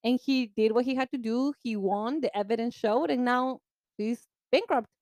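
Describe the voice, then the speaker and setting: A woman with a Spanish-speaking accent co-hosting a podcast, chatting lively, laughing, and mixing Spanish into her English. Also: on the high side, normal speed, no room sound. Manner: lively, laughing, bilingual code-switching. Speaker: podcast co-host. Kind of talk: casual chat.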